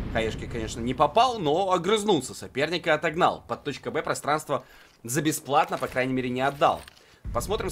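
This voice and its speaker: A man's voice: on the high side, noisy background. A man commentating live on a Counter-Strike esports match in a conversational play-by-play style.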